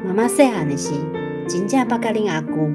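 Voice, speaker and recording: Woman as mom remembering childhood fondly, normal pitch, music behind, radio story. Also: animated, a little fast, lightly acted. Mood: fond, amused. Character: mother fondly recalling her childhood.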